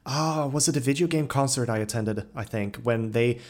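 A man's voice: mid-range voice, moderate pace, darkish tone. A man hosting a podcast interview in a casual, enthusiastic chat style, with an eager, gushing manner. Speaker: podcast host interviewing a composer.

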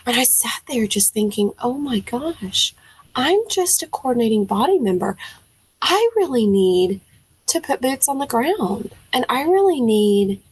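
A woman's voice: a little high, measured pace, husky, rough recording. A young woman from Tennessee with a Southern accent, a music teacher speaking as a podcast guest, telling her story animatedly with frequent fillers and acted-out reactions. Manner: animated, acts out her own quoted reactions; frequent fillers, thinks aloud. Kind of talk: casual storytelling interview.

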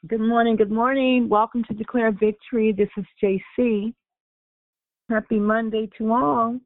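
A woman hosting a prayer conference call, greeting each caller with repeated good mornings and affectionate names. Her voice can get a little raspy. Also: fairly high pitch, fairly even intonation, moderate pace, no room sound. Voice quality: gets raspy. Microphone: telephone. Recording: tinny phone line.

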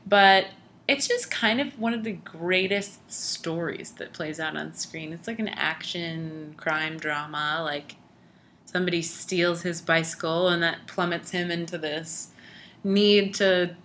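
Young woman, low bright voice, loose rambling conversational delivery. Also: unhurried. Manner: rambling, heavy use of "like" and "you know". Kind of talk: casual conversational chat.

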